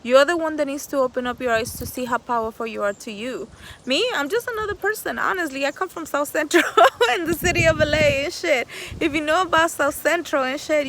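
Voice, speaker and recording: Woman, 30s, high voice, earnest rambling self-help vlog, faint outdoor traffic noise. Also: a little fast, fairly bright tone, poor audio. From El Salvador. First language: Spanish. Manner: earnest, rambling, swears freely, laughs often. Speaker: vlogger. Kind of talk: casual motivational monologue. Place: outdoors in nature.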